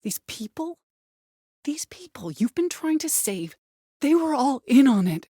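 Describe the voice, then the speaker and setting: An actress playing a vengeful, bitter middle-aged mother in a video game, commanding and threatening, with deliberate delivery and cold control. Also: high-pitched, sing-song, warm tone, dry recording, clean studio sound. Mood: bitter, accusing. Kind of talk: dramatic video game voice acting.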